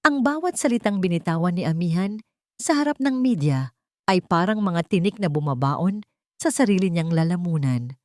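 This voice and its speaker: A woman's voice: on the low side, lively intonation, normal speed, a little mellow, no echo, studio quality. A woman reading a scripted, dramatic love story as its narrator.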